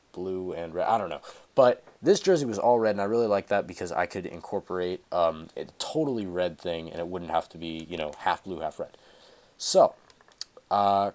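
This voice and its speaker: A man's voice: low voice, a little mellow. A teenage man with a stuffy cold, casually talking through a tutorial on a headset, rambling with fillers and self-corrections. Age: teens. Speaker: tutorial host. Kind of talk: casual screen-recorded tutorial. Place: small room at home, indoors.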